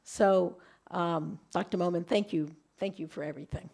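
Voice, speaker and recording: A woman's voice: fairly low pitch, creaky, a little mellow. A middle-aged woman with a light voice gives a conference presentation in a room, often restarting and repeating words. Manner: halting, repeats words while speaking. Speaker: invited speaker at a webinar.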